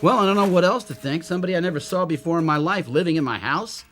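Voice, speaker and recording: A man's voice: fairly high pitch, fast talker, faint music behind, natural voice. An actor in a radio drama playing a bewildered, agitated young man who doubts his own sanity. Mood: baffled, frustrated.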